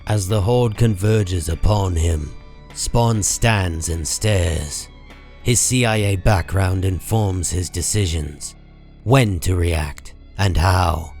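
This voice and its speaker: A low-voiced man reads scripted comic book narration. He is measured and grave, taking his time over each phrase, with soft music playing underneath.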